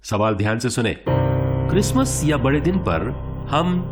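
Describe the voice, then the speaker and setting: A man playing a suave quiz show host in a radio play, formal and theatrical, with dramatic pauses. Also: medium pitch, big pitch swings, loud music behind the voice. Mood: showy, polite.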